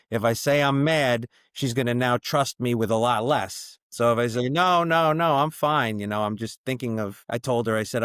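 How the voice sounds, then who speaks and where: A middle-aged man talking casually as a podcast guest, telling stories with lots of "you know" fillers and lively quoted voices. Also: medium pitch, no echo, clean studio sound. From born in Chile, raised in the US. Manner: chatty storyteller, many "you know" fillers, animated when quoting. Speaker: brewery founder, podcast guest. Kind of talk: casual conversational interview.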